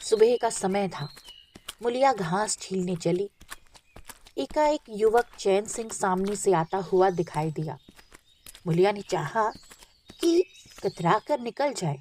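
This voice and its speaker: A woman's voice: mid-range voice, expressive, slow, pauses often, darkish tone, a little hiss or hum. A woman reading a literary story aloud as an audiobook narrator, with expression.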